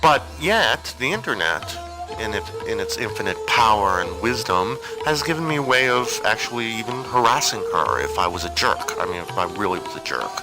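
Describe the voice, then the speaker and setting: A man's voice: fairly low pitch, very animated, moderate pace, loud music behind the voice, poor audio. A man giving an informal, rambling personal monologue with a hesitant, digressive and wry way of talking. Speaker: storyteller on an audio zine.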